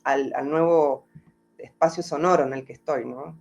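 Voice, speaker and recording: A woman's voice: sits low, steady tone, moderate pace, vocal fry, a little mellow, lo-fi sound. Reflective Argentine woman on a video call. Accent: Argentine Spanish, softened toward Mexican. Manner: thoughtful, searching for words, frequent "¿no?" tags. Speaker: music therapist guest. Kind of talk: reflective interview answers. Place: home in Mexico.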